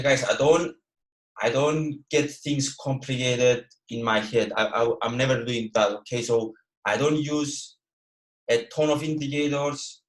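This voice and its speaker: A young man from Cyprus speaks English with a Greek Cypriot accent, his first language being Greek. He runs a live online lesson in a casual, straightforward manner, often stopping to check in with his listeners. His pitch sits in an ordinary middle range for a man. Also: poor audio. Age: 20s. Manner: casual and direct, peppers speech with "okay" and "all right". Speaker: guest trading educator.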